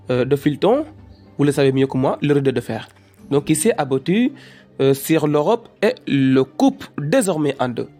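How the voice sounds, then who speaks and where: An eager, deferential student character in an educational cartoon , voiced by an amateur actor, explaining a lesson haltingly. The pitch sits in a middle range, with faint music underneath. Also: darkish tone, lightly acted. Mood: earnest. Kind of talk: educational cartoon dialogue, lecture-like recitation.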